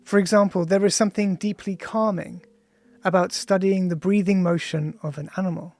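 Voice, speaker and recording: A man with a high, noticeably creaky voice narrates an audiobook as its author. His delivery is measured and contemplative, with deliberate pauses between phrases. Music plays behind him in the studio recording. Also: steady tone, a little mellow. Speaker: author reading own audiobook.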